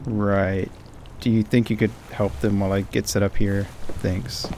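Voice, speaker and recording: Casting: male actor for practical, reassuring ritual-maker in audio drama; lowish, casual, matter-of-fact, clearly noisy watery background. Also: little pitch movement, a little slow, darkish tone. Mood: businesslike. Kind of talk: audio roleplay acting.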